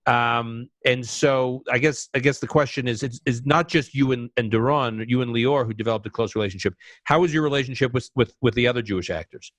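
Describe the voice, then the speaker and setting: A male interviewer with an ordinary mid-range voice runs a live conversation. He moves briskly, eager to jump into the next question. The sound is dry and close, with no room around him. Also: medium pitch, a bit bright, dry recording. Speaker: interviewer and host.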